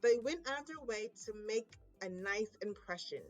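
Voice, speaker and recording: A woman's voice: on the high side, expressive, normal speed, soft background music. A woman reading a children's storybook aloud as its narrator. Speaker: storybook reader.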